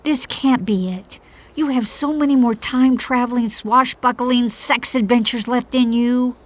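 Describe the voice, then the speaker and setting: Supportive female friend: fairly high voice, earnest, consoling, natural comedy-drama delivery. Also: measured pace. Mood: sympathetic. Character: sympathetic, supportive woman friend on a cruise. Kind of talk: audio drama comedy acting.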